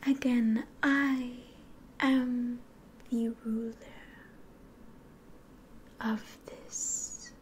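Woman voicing a sly demon ruler, very slow ASMR whisper, soft dark tone, theatrical and grandiose, faint hiss. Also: fairly high pitch, steady tone. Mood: haughty. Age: 20s. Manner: theatrical, unhurried, mostly whispered. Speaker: ASMR roleplay performer. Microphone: close microphone.

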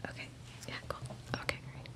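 A woman's voice: brisk, pushed and bright. A young woman whispering close to the microphone in a casual, reassuring ASMR roleplay as a hairdresser. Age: young adult. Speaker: ASMR creator playing a hairdresser. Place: small room indoors at home. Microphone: close desktop condenser microphone.

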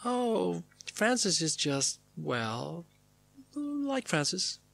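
Man putting on a halting, dreamy, swooping actress. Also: high voice, big pitch swings, vocal fry, a bit bright, no room sound, pitched above the performer's own voice, heavily acted. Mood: dreamy, fond. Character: quirky, enigmatic film actress musing fondly.

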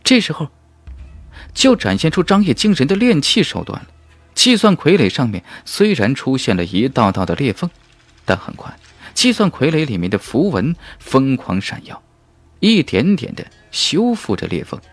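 A man's voice: mid-range voice, huge pitch swings, moderate pace. A man reading a fantasy novel as an audiobook narrator in an emphatic, suspenseful, dramatic style.

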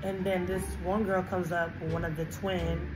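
A woman's voice: fairly low pitch, little pitch movement, measured pace, poor audio. A woman in her twenties telling a casual, slangy story emphatically to a phone camera in a hotel room. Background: background music.

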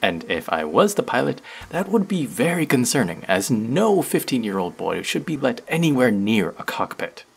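Man voicing a playful young barista, husky, gravelly, lowish voice, lively swoops in pitch. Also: exaggerated voice. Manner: playful, rambling, teasing. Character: chatty young male barista, friendly and teasing. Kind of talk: scripted audio roleplay.

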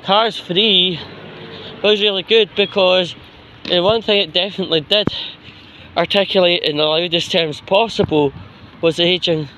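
Scottish male vlogger, slightly high voice, slow halting monologue, outdoor phone audio with faint street noise. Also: fairly even intonation, pushed and bright, rough recording. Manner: halting, slow, frequent pauses mid-sentence. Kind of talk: rambling casual walking monologue. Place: outdoors, walking along a street pavement. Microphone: handheld phone while walking.

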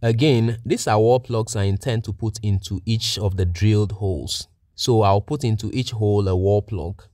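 Guy with a lowish voice walking thru a job step by step, methodical, dry close sound. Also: normal speed, mellow, no echo. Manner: methodical, plain-spoken. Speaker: technician presenting a how-to tutorial. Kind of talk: step-by-step instructional narration.

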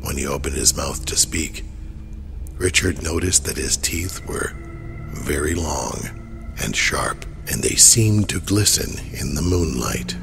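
A man's voice: very deep voice, takes it slowly, vocal fry. A man narrating a horror audio drama in a dramatic, scripted storytelling style. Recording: music underneath.

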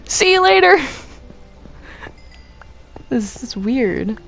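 A woman with a fairly high voice talks casually over game voice chat. She speaks very slowly and haltingly, hesitating as if unsure of things. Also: sing-song, creaky. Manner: hesitant, halting, often confused. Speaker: gamer in a group roleplay.

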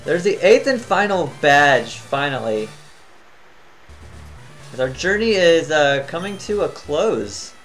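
A man's voice: fairly high pitch, big pitch swings, takes it slowly, long pauses. Man, tired, casual gameplay commentary, chatty, thinks aloud, faint background music. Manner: chatty, thinks out loud, hums to himself. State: tired, voice near cracking. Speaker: gaming channel host.

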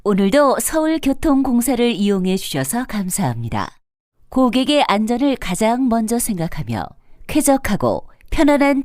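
A woman announcer with a fairly high, lively-pitched voice delivers formal transit announcements. She enunciates politely and carefully, in a very dry recording. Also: no room sound. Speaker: subway train announcer. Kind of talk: scripted automated transit announcement.

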